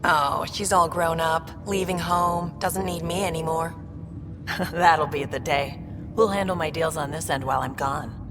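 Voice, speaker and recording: A tough, sardonic colonist from a sci-fi game. Her voice sits low with a creaky grain, in long reverb over music. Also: a little slow. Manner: dry, teasing.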